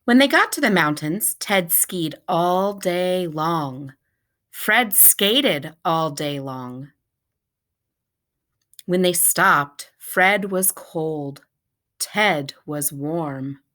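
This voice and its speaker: Slow-paced woman reading a children's storybook. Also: lowish voice, lively intonation, fairly bright tone. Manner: deliberate, measured storybook delivery. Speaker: teacher reading aloud to pupils. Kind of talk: children's picture book read-aloud.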